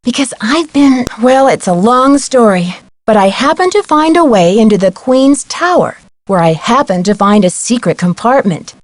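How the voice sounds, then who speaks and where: Young girl detective voiced by a woman, game dialogue, highish voice, polite and full of questions, dry close sound. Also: lively intonation, moderate pace, few pauses, dry recording, lightly acted. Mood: curious, persuasive. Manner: courteous, inquisitive, clearly enunciated. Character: polite, inquisitive young female amateur detective. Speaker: video game voice actor.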